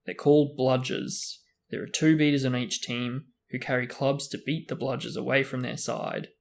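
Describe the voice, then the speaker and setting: A man's voice: mid-range voice, moderate pace, creaky, natural voice. A man as a tired, resigned schoolboy. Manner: flat, resigned explaining. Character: tired patient schoolboy hero.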